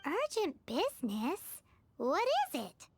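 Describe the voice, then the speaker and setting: A woman voicing a tiny, cheeky, childlike fairy companion in an animated video game, with a squeaky, sassy delivery. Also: slow pace, vocal fry, soft background music, heavily acted. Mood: curious, pressing. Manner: refers to herself in third person, sassy.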